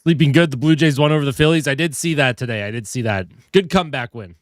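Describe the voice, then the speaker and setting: A young man hosts a casual live stream with a stuffed-up voice from a head cold, sounding a little tired as he chats with viewers. His pitch sits in a middle range for a man. The sound is dry and close, with no room echo. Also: fast. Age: young adult. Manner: chatty, reads and greets viewer comments. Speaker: hockey streamer.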